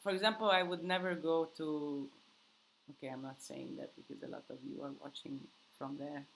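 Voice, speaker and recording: A woman's voice: sits low, fairly even intonation, normal speed, gravelly, a bit bright, low-quality recording. A woman hosting a live Q&A in English that is not her first language, rambling casually with many restarts and fillers. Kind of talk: casual live-stream chat with viewers. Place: countryside home.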